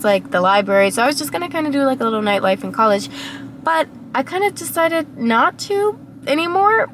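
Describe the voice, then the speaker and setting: Hoarse young woman vlogging from her car. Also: a little high, noisy background. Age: young adult. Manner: rambling, reflective, many fillers. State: hoarse and raspy from a cold. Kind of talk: casual vlog monologue. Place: inside a parked car.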